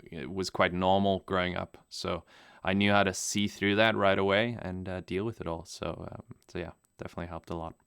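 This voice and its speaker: A young man who grew up in Namibia, native German speaker, answering interview questions in a relaxed, rambling way with many fillers and restarts. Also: sits low, fairly quick, vocal fry, smooth, no room sound, studio quality. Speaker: guest pilot.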